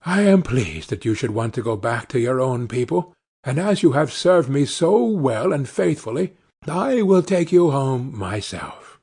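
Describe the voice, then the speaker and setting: Man reading as old storybook woman, kindly, gently instructive, husky, lively intonation. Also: medium pitch, unhurried, full character voice.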